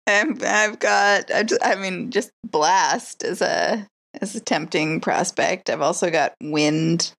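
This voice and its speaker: A woman's voice: on the low side, slow pace, vocal fry, fairly bright tone. A woman playing a tabletop roleplaying game, improvising casually and thinking aloud.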